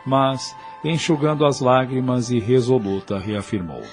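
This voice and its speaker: A man's voice: mid-range voice, a little slow. Male audio drama narrator over music. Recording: music under the voice.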